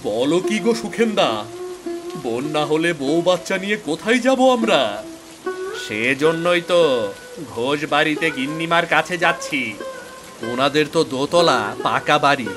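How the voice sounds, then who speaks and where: A man voicing a fretful, excitable villager in a cartoon, dramatic and exclaiming. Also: fairly high pitch, natural voice. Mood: anxious. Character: excitable, fretful village men facing flood. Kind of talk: Bengali cartoon moral story acting.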